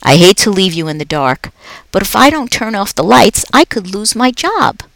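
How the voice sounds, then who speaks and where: A woman's voice: medium pitch, big pitch swings, moderate pace, gravelly, no echo, pitched above the performer's own voice. A woman voicing a cheerful, joking male school janitor who works nights, in a storybook reading. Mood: jolly.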